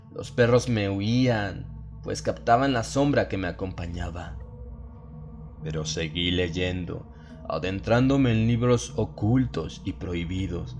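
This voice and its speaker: Male horror audiobook narrator, lowish register, grave and brooding, music bed underneath. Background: music behind the voice.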